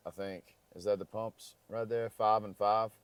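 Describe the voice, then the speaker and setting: A man's voice: lowish voice, steady tone, unhurried, clear, resonant tone, no echo. A man who is losing his voice, chatting casually and rambling in a parked car. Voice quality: crackly voice. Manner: rambling, easygoing. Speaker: travel vlogger. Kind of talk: casual chat.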